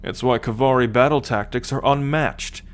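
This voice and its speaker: A man playing a smug, teasing, haughty alien soldier and scout in an ASMR roleplay, talking straight to the listener with deliberate condescension. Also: mid-range voice, slight background noise, lightly acted. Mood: teasing, boastful. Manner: slow, deliberate, smugly condescending.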